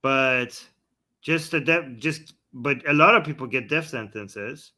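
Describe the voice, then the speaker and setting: A man hosts a casual news chat, explaining points in a conversational way and often restarting his sentences partway through. His voice is bright at a middle pitch. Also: measured pace. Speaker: show host.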